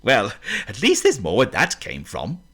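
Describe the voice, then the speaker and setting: A man voicing a contented male cat who speaks in an unhurried way in a storybook. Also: mid-range voice, wildly swooping pitch, a bit bright, breathy edge, full character voice. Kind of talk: storybook voice acting.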